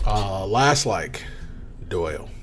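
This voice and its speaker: Low-key mumbling man. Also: animated, takes it slowly, fairly bright tone. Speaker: podcast co-host. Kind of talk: casual movie review chat.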